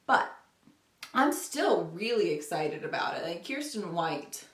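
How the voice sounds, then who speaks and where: A woman's voice: fairly low pitch, big pitch swings, unhurried. A woman talking casually about books to camera in a chatty, rambling way, often correcting herself as she goes. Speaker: book vlogger. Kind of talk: casual book chat to camera. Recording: roomy, echoey home recording.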